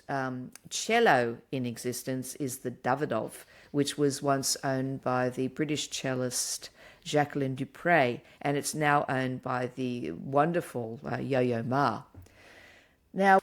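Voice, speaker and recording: A woman with a low voice for her sex explains a topic in a lively, chatty way. She laughs easily and keeps the tone casual and engaged. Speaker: podcast co-host. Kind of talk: casual chat.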